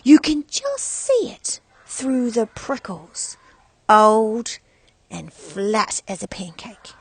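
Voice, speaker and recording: An excitable child spreading spooky rumours, voiced by a woman storyteller. The delivery is dramatic and ominous, swooping in pitch with a husky edge, as in a storybook. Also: slow pace, heavily acted. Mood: warning. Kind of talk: read-aloud children's storybook.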